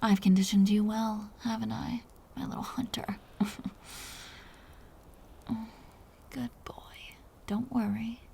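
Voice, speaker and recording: A woman voicing a seductive, dominant female vampire captor in an intimate audio roleplay, speaking in a breathy, teasing whisper. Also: fairly high pitch, fairly even intonation, slight background noise. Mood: seductive, possessive. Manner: slow, teasing, softly condescending.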